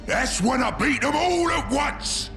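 A man voicing a brutish, greedy ork from a video game, with a rough, gravelly voice, crude bellowing delivery. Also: extremely high pitch, lively intonation, a little slow, pushed and bright, soft background music, full character voice. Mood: scheming. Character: brutish, greedy, scheming ork warboss and his excitable underlings. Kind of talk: video game character acting.

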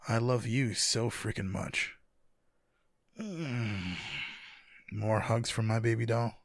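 A man playing a tender, teasing, sleepy lover in an intimate boyfriend ASMR roleplay, speaking softly and affectionately in a husky, gravelly voice. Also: fairly low pitch, no room sound, clean studio sound, exaggerated voice. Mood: affectionate, playful. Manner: soft, affectionate, unhurried. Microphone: close microphone, dry.

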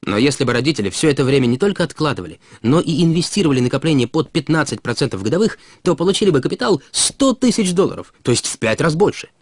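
A man reading a scripted educational explainer in a measured, instructive way, as a narrator for an animated film. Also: fairly high pitch, dry recording, clean studio sound. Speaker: narrator of an educational cartoon about personal finance. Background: background music.

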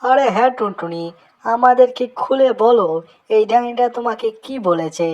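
A woman's voice: a little high, normal speed, darkish tone, highs cut off, close to an everyday voice. A woman voicing a bold, protective male cartoon friend, earnest, indignant and reassuring by turns. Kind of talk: cartoon dialogue.

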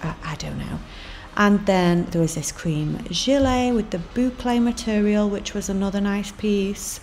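A woman, narrating a fashion vlog in a relaxed, chatty way with little fillers. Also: medium pitch, a little slow, a little hiss or hum. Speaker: fashion vlogger. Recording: voice over background music.

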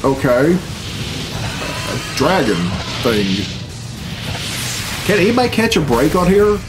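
A man's voice: a little high, sing-song, takes it slowly. A man, giving blunt, grumbling, sweary commentary as host of a reaction video. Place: small furnished room at home, indoors. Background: loud game cutscene music.

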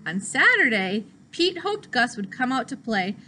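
Young female primary teacher for story time: high, bright, expressive and deliberate, classroom recording with faint noise. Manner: expressive, deliberate, child-directed. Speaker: teacher reading a picture book. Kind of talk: children's read-aloud. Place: small classroom, indoors.

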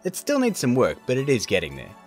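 Lively, informal male tutorial host. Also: medium pitch, fast talker, over music. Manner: chatty, informal, jokey. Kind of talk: casual software tutorial narration.

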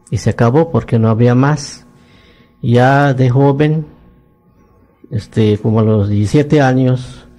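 A man in his nineties from Yucatán whose first language is Maya, speaking Spanish with a Yucatec accent as he slowly recounts his life story in a radio studio interview. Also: medium pitch, mellow. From Yucatán, Mexico. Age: 90s.

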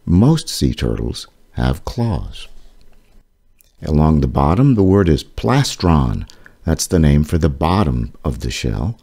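A man reads an educational book aloud to children in a deep, mellow voice. His delivery is deliberate and explanatory, with lively rises and falls in pitch across the phrases. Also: gravelly, breathy edge.

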